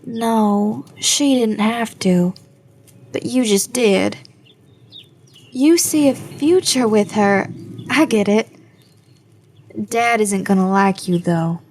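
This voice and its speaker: A woman playing a level-headed, guarded young adult daughter in an audio drama, measured and dry in manner. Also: medium pitch, darkish tone, slight background noise.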